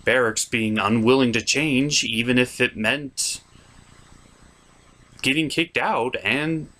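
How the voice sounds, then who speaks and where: A man's voice: medium pitch, slow, bright, forceful tone. A man giving a comedic recap as a cartoon reviewer, sarcastic and mocking in manner.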